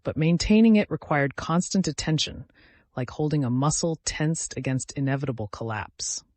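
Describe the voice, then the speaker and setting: A man reading scripted fantasy narration in a solemn, measured, dramatic way. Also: a little high, darkish tone, no room sound.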